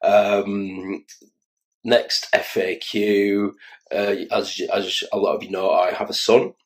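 A tired Irish man in his forties with a Dublin accent, talking casually and haltingly to his phone camera in a small room. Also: fairly low pitch, little pitch movement. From Ireland. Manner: rambling, halting, self-mocking. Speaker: children's entertainer and vlogger. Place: small room indoors, in an office chair. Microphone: phone camera held close.

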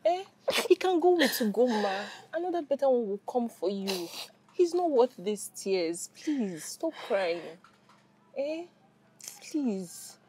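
A woman's voice: a little high, big pitch swings, slow, a little mellow, a little hiss or hum. An actress playing a young woman consoling her friend with soothing, repeated reassurance. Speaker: comforting friend. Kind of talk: film drama acting.

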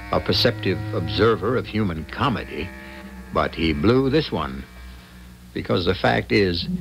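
A man's voice: sits low, gravelly. A man hosting a 1970s radio mystery drama with wry, dramatic, scripted narration. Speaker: host and narrator. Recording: old radio broadcast with music behind.

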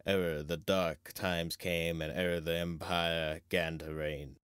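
Wise old hermit knight played by a man, low voice, grave, measured, theatrical, dry close recording. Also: little pitch movement, a bit bright, clear, resonant tone, no room sound. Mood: solemn, instructive. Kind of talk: Shakespearean dramatic reading.

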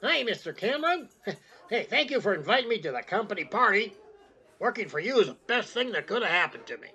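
A man's voice: high-pitched, sing-song, fast, sharp tone, husky, highs cut off, faint music behind, voice pushed up, full character voice. A man voicing a cheerful, deferential young janitor in a radio drama. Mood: eager. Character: young eager male office janitor.

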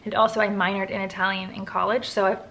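A woman's voice: mid-range voice, level tone, fast talker, fairly bright tone, slight background noise. A woman in her thirties answering viewer questions to camera from her living room, candid and chatty with lots of "like" fillers. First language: English. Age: 30s. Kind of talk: casual Q&A chat to camera. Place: living room at home, indoors. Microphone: camera at close range.

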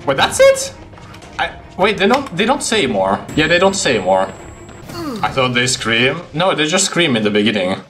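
Young man streaming, very lively pitch. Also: normal speed, music in the background. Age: young adult. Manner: amused, reactive. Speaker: livestreaming gamer. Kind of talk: casual game commentary with reactions. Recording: noisy stream with game sound.

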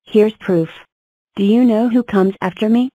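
A woman's voice: medium pitch, a little slow, warm tone, dry recording, lo-fi sound. Woman reading cartoon number lines. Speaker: voice of number characters. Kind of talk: fan cartoon voice-over.

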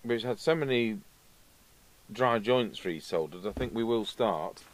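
A man in middle age speaks in a fairly low voice. He gives an informal running commentary from his workbench, often musing to himself as he goes. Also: lively intonation, unhurried. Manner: musing aloud to himself while working. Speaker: radio repair video host. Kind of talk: casual hands-on workbench commentary. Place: indoor workbench, overhead camera.

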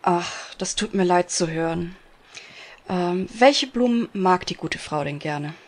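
A woman playing a gentle, helpful flower shop saleswoman in a parody detective audio drama. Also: lowish voice, takes it slowly. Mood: polite, helpful. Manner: polite, hesitant service talk.

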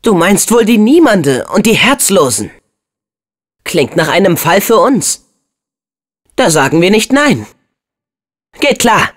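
A young man voicing an upbeat, brave and earnest teenage boy hero in a video game, with an expressive, youthful delivery. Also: high voice, heavily acted. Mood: confident.

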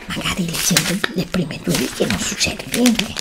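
A woman playing a curious, stubborn student in a whispered ASMR roleplay, hushed, insistent and pleading. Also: lowish voice, very animated, measured pace, very noisy.

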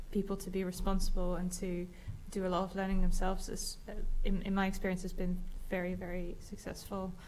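A woman's voice: mid-range voice, darkish tone, a little hiss or hum. Reflective female teacher, level voice, panel talk in a big hall. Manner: reflective, thinking aloud. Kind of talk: panel discussion. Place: large dark auditorium stage.

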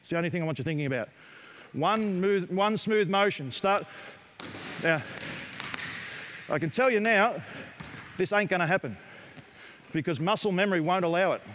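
A middle-aged man with an Australian accent, coaching players in a gym with brisk, direct instructions. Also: sits high, expressive, a bit bright, slight background noise. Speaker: basketball coach. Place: large indoor basketball gym. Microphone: headset mic.

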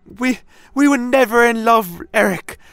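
A man voicing a frightened, gentle satyr mother who pleads haltingly in a fantasy audio story. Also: very high-pitched, smooth, no room sound, pitched above the performer's own voice, full character voice. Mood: frightened, apologetic. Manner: halting, stammering. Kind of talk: fantasy audio drama acting.

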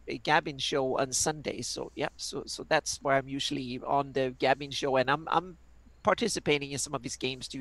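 A woman's voice: very deep voice, no room sound. A woman in her fifties from Sweden with a Swedish accent, chatting on a video call as a guest player and repeating words as she restarts. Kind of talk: casual chat on a game stream.

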